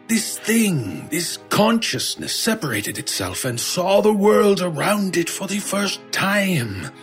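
An actor playing an older man in a horror radio drama, husky, protective and earnest, pleading desperately. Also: sits high, a bit bright, heavily acted. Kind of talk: old-time radio style horror audio drama acting.

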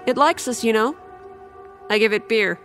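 A woman playing a bold, unfazed senior camper in a horror audio drama, with a brash, expressive delivery. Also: a little high, moderate pace, close to an everyday voice. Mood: nonchalant. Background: music.

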